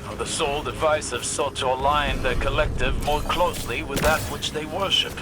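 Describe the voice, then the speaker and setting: An actor playing a stern, scholarly older warlock commander in a video game, formal and precise. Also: male voice, fairly high pitch, steady tone, moderate pace, lightly acted. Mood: analytical. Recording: noisy sound effects behind voice.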